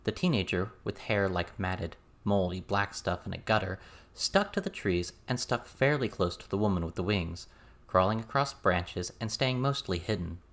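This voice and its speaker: A man's voice: sits low, lively intonation, moderate pace, fairly bright tone. A man reading a scripted story as an audiobook narrator.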